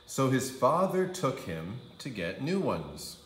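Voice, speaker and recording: A man reading a children's story aloud as a kindergarten teacher, with expressive, drawn-out emphasis on key phrases. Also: medium pitch, roomy sound, a little hiss or hum, rough recording. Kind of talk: animated storybook narration for young children.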